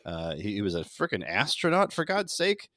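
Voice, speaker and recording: A man's voice: lowish voice, huge pitch swings, normal speed, fairly bright tone, no room sound. Male podcast panelist, emphatic and sarcastic, swinging intonation, casual political banter, dry recording.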